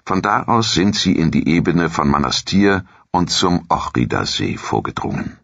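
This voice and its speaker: A man narrates an audio documentary in a formal, measured way. His voice is rough and creaky, with a husky grain. The dry recording sounds a little muffled, as if storytelling in a small dead studio. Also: sits low, big pitch swings, a bit bright, no room sound.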